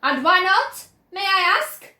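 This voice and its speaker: A woman reading aloud, voicing a panicked, shrill middle-aged mother who yells in alarm. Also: sing-song, takes it slowly, reverberant, exaggerated voice. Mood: frantic.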